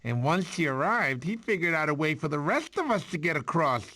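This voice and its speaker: A man's voice: a little high, very animated, a little fast, pushed and bright, slight background noise. A man voicing a goofy, boastful, doting cartoon sloth uncle who tells bedtime stories theatrically and stumbles over his words. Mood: storytelling. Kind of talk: animated film voice acting, bedtime storytelling.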